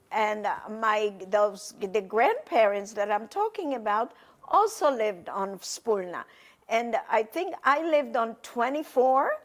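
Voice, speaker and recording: An older woman whose first language is Polish, recalling her past slowly and hesitantly in an oral history interview. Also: a little high. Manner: slow, hesitant, reflective, many pauses and fillers. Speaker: interviewee, testimony witness. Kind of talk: recorded oral history testimony.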